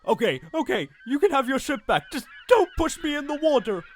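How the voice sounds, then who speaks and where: A man's voice: extremely high pitch, big pitch swings, brisk, a little hiss or hum. A man voicing a scheming bear pirate and swindler, cowardly under threat, in an audio drama. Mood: panicked.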